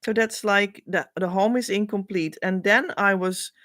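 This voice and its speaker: A woman with a Dutch accent, a spiritual coach thinking aloud as she reads meaning into letters, with many pauses and hesitations. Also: mid-range voice, a bit bright, dry recording. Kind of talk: casual spiritual reading.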